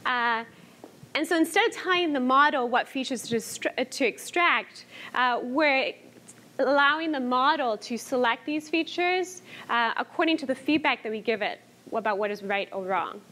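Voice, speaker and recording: A woman's voice: high-pitched, lively intonation, normal speed, sharp tone. A young woman giving a conference talk on machine learning, conversational with frequent fillers. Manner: conversational, many fillers, laughs lightly. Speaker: conference speaker. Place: conference hall.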